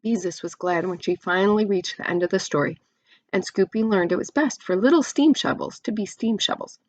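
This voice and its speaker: A woman's voice: medium pitch, fairly quick, dry recording. A woman reading a children's storybook aloud expressively, like a teacher to young listeners.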